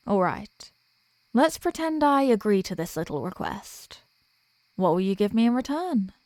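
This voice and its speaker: A woman's voice: lowish voice, a little mellow, no room sound. A woman playing a flirtatious female archdemon in a roleplay audio drama, with a coy, smug and teasingly formal manner.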